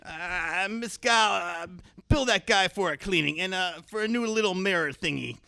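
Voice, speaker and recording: A shameless, muddled old quack dentist for a comic radio play. The voice is high, bright and crackly with age. He hems, mutters and repeats himself absent-mindedly. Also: normal speed, vocal fry, smooth, heavily acted. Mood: rambling, muddled.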